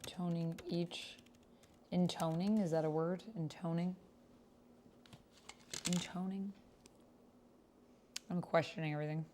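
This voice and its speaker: A woman's voice: on the low side, fairly even intonation, a little slow, long pauses, mellow, slight background noise. A woman chatting casually and thinking aloud as she plays a text roleplaying game, often questioning her own words. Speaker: streamer playing a text-based roleplaying game. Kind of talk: casual livestream chat, thinking aloud while reading and typing.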